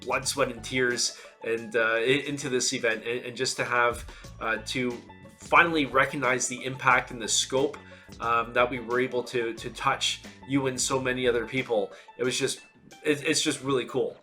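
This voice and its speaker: Bright-voiced man with a stuffy cold. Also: medium pitch. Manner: earnest, many fillers and restarts. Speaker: conference organizer and host. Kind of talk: casual video to camera.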